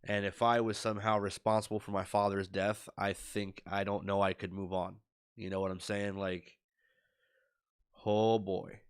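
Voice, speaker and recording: A man's voice: fairly low pitch, little pitch movement, normal speed, clear, resonant tone. A young man with a stuffy nose and a scratchy voice from illness, rambling worriedly with lots of fillers in a casual video diary to his webcam. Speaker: streamer. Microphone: webcam at desk.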